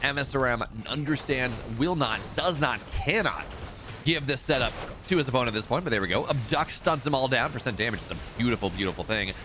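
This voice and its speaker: A man casting a live strategy game match, excitable in the fights and relaxed and rambling when he chats with viewers. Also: mid-range voice, brisk, noisy background. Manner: excitable play-by-play, rising in big fights, relaxed and rambling between games. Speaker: esports caster. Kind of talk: live game commentary and chat reading.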